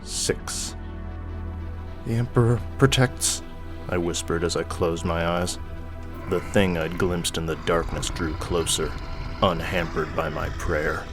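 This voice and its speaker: A man's voice: deep voice, level tone, unhurried, over music. A man giving a grave, theatrical narration of scripted horror stories.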